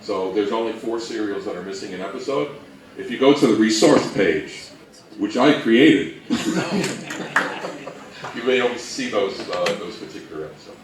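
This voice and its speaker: A man's voice: lowish voice, expressive, normal speed, pauses often, a crowd in the background. A man giving an informal presentation to an event audience, with a dry, joking, self-mocking manner. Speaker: panel presenter. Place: indoor event room, recorded from a distance. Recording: distant, echoey room with audience.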